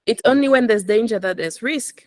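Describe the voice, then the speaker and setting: A woman's voice: a little high, brisk, a little mellow, dry recording. A woman working as an English teacher in a one-to-one lesson, instructing, correcting and enunciating words for repetition. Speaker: English teacher coaching aviation English.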